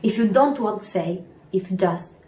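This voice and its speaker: A woman's voice: mid-range voice, measured pace, warm tone, slight background noise. A twenty-one-year-old woman from northern Italy with an Italian accent, a native Italian speaker, giving a prepared testimonial. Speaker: network marketer giving a testimonial. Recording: echoey room sound.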